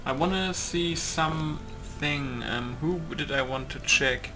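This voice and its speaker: A man's voice: fairly high pitch, slow pace, bright, forceful tone, faint music behind. A man giving casual, rambling playtest commentary on a video game, thinking aloud. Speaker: gaming video maker. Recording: game noise behind the voice. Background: video game ambience.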